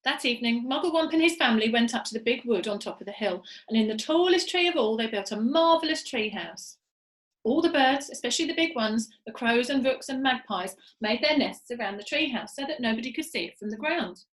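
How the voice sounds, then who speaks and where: A woman reading a children's story aloud with expressive storytelling delivery. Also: on the high side, brisk. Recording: echoey room.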